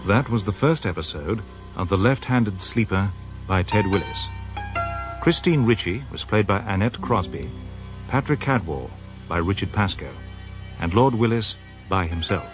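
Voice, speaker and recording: A man with a British accent doing formal, scripted radio announcing for a drama serial. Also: on the low side. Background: music.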